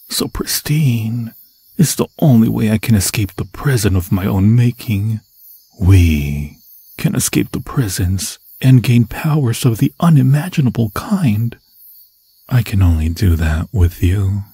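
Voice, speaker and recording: A man voicing an obsessed undead forest demon in an ASMR fantasy roleplay, seductive and menacing, with a husky, breathy voice that often drops to a whisper and slow, theatrical, intimate phrasing. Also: mid-range voice, warm tone, no room sound, exaggerated voice. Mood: adoring, possessive. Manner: slow, theatrical, intimate, crooning endearments in archaic formal phrasing.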